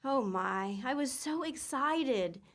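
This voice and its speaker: A woman's voice: sits high, expressive, slow, heavily acted. An actress playing a sweet, earnest young woman in an old-time radio comedy, telling a story and pleading. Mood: fond.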